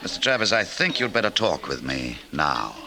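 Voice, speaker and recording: A man playing a falsely polite, quietly menacing pursuer in an old radio drama, formal and insistent. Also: low voice, very animated, normal speed. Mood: coolly menacing. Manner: formal, suave, veiled threat. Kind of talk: 1950s radio drama acting. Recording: old radio recording, loud crowd behind.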